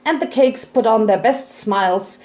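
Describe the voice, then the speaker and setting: A woman's voice: a little high, moderate pace, darkish tone. A woman reading fan fiction aloud as a dramatic storyteller. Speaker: narrator.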